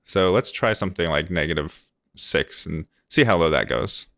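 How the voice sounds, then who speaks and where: Male tutorial presenter, low register, informal and easygoing, explanatory, recorded close and dry. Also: moderate pace, creaky, fairly bright tone, no room sound. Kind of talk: casual screen-recorded software tutorial.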